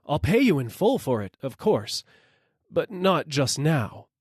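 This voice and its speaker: A man's voice: a little high, sing-song, a little slow, husky, no echo, studio quality, voice pushed up, heavily acted. A man voicing a desperate, freezing poor man who humbly cries out and begs for coal in an audiobook story. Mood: humble begging. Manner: pleading, humble, crying out.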